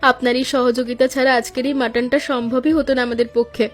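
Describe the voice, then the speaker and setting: A woman's voice: on the high side, flat delivery, quick pace, lightly acted. A woman playing a gracious young daughter-in-law, the elder sister in a cartoon story, earnest and polite. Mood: grateful.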